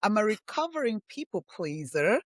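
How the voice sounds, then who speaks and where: A woman's voice: mid-range voice, big pitch swings, moderate pace, no room sound, studio quality. A middle-aged woman from Tanzania and Zambia, a podcast guest who talks earnestly and from the heart. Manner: earnest, heartfelt, repeats herself for emphasis. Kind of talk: reflective interview talk.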